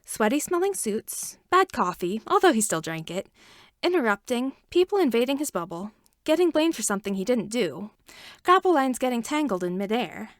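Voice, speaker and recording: A woman's voice: on the high side, dry recording. Woman with a dry, close narration. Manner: expressive storytelling, shifting emphasis. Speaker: podfic reader. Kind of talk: reading fan fiction aloud.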